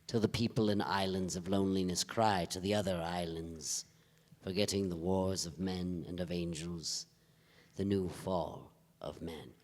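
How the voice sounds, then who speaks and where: An actor playing a somber, anguished man who speaks gravely and haltingly in a live staged play reading. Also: sits low, steady tone. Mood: solemn, poetic. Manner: grave, halting, emotionally pressing. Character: Joe, somber, anguished divorce investigator bearing bad news. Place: theatre stage before a live audience. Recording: live theatre stage, long hall reverb.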